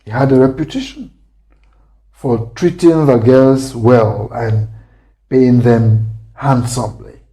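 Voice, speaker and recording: A man from Africa narrating a scripted dramatic story as a storyteller, deliberate and pausing mid-sentence. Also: medium pitch, dark tone, low-quality recording.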